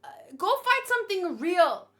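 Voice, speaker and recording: A woman's voice: very high voice, sing-song, moderate pace, a bit bright. A woman giving informal, opinionated commentary as she hosts a casual live read-along and talks with chat. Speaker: manga read-along host.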